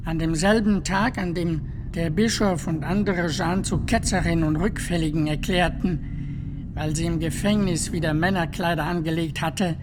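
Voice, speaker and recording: An actor playing an earnest friar who testifies deliberately and formally in a radio play, with music underneath. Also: medium pitch, steady tone, background noise, lightly acted. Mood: solemn testimony.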